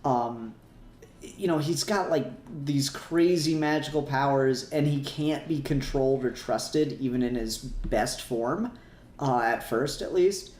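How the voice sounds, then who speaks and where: A man's voice: mid-range voice, measured pace, darkish tone. Echoey, rambling man chatting casually. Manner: rambling, profane. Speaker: podcast co-host. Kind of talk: casual fan discussion. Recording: roomy, echoey voice recording.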